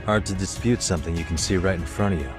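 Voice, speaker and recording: An actor as a low-voiced, cool male detective. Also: fast talker, over music, natural voice. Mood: wry, attentive. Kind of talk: video game cutscene dialogue.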